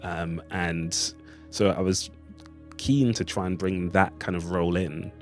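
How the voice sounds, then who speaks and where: A man's voice: deep voice, level tone, a little slow, a little mellow, soft background music. A man speaking as an interview guest, reflecting on his creative work in a hesitant, thoughtful, hedging way. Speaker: playwright and artist, interview guest.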